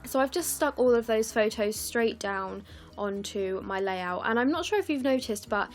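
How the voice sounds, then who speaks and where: A young woman from the UK, croaky and scratchy, chatting through her scrapbooking as a casual voice-over, with lots of ums and apologies. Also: a little high, lively intonation, moderate pace, faint music behind. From United Kingdom. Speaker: scrapbooking vlogger. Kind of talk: casual process video voice-over.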